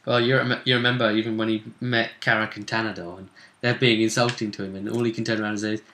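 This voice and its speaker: Male game master, bright forceful voice, casual recap of tabletop play, echoey room. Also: fairly low pitch, flat delivery, fast, a little hiss or hum. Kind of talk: casual chat, recounting game events. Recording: roomy, echoey sound.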